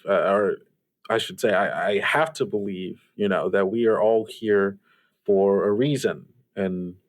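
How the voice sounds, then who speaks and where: A man plays a reflective late-night radio DJ in an audio drama. He talks at a middling pitch in a halting, rambling way, thinking out loud with plenty of filler words. The manner is laid-back and a little wistful. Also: natural voice. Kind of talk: improvised radio host monologue in a fiction podcast.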